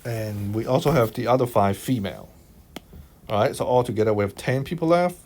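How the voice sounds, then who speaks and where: A man from Hong Kong with a Hong Kong accent, talking through a maths exam solution as a tutor, unhurried and thinking aloud, checking in with his listeners. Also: mid-range voice, animated, darkish tone, slight background noise. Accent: Hong Kong Cantonese. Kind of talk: explaining a worked exam solution over screen recording. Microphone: voice-over on screen recording.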